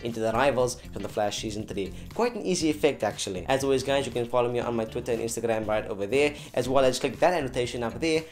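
A man's voice: mid-range voice, fast talker, few pauses, a little mellow. A young man presenting a scripted tutorial in a chatty, playful way, addressing viewers directly. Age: young adult. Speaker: tutorial host. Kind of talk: scripted tutorial narration to camera. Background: background music.

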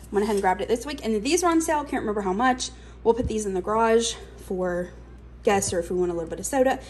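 A woman's voice: a little high, a little hiss or hum. A young adult woman, chatting casually through a grocery haul in her home kitchen, with lots of fillers. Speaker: cooking channel host.

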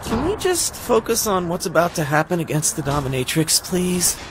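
A man's voice: high-pitched, very animated, normal speed, noisy background. A man playing an earnest, put-upon techie guru sidekick in a video game, easily indignant. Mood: urgent, exasperated. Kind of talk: video game cutscene acting.

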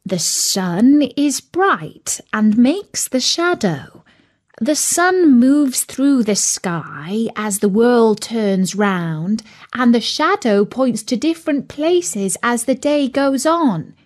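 Woman playing a serene yoga dog, slow and patient, gently explaining, storybook character. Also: fairly high pitch, very animated, voice pushed up. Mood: calm.

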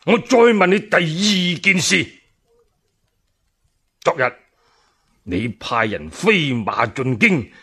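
A man's voice: on the high side, very animated, slow pace, pauses often, sharp tone, breathy edge, heavily acted. A man voicing an indignant, righteous old loyal minister in a radio storytelling drama, declaiming and stammering with outrage. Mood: thundering, outraged.

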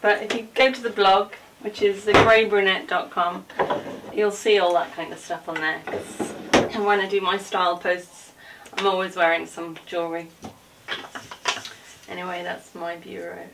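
A woman's voice: fairly low pitch, steady tone, normal speed. A woman in her forties chatting casually and informally as a vlogger, with rambling asides as she moves about a room at home. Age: 40s. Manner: informal, rambling asides while moving about showing things. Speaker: vlogger giving a room tour. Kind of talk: casual chatty vlog talk. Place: indoors, small home dressing room. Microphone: camera a few feet away. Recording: distant camera mic, background noise and clicks.

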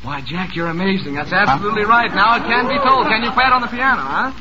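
A man's voice: high voice, quick pace, dull audio, crowd noise. An American man hosting a 1940s radio quiz show with jovial, chatty, showman-like patter. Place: radio studio. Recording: noisy vintage radio broadcast recording.

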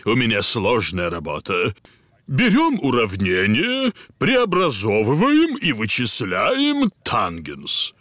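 Jolly, slow-talking cartoon father pig. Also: medium pitch, huge pitch swings, fairly bright tone, no room sound, full character voice. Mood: proud, explaining. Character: jolly, proud father pig, big and kindly. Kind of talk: preschool cartoon dub.

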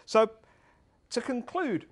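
A man's voice: very high-pitched, lively intonation, slow pace. A middle-aged man giving an earnest, conversational talk at a tech meetup, speaking into a handheld mic in a large hall. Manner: conversational, earnest, gestures while presenting. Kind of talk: conference talk. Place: large dark event hall.

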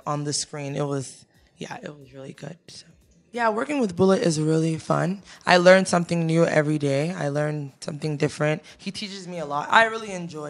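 A young woman singer from Ghana, raised in America, casually answering interview questions in a studio with a handheld mic. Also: low voice. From Ghana, raised in the United States. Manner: easygoing, many fillers like "you know" and "um". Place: studio with a teal backdrop. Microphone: handheld mic close to the mouth.

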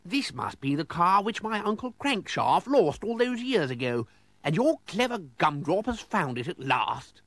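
Man putting on an excitable, high-pitched eccentric old aristocrat. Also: moderate pace, dry recording, heavily acted. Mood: amazed. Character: eccentric, excitable aristocratic manor owner, an older gentleman.

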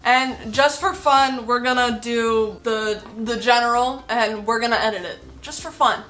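A young woman with a bright, slightly raised voice chats to camera from her bedroom, joking at her own expense and giggling between lines. Soft music plays underneath. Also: fairly high pitch, fairly even intonation, unhurried, poor audio. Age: young adult. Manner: chatty, self-mocking, giggly. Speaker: comedy YouTuber. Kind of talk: casual chat to camera. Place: small bedroom.

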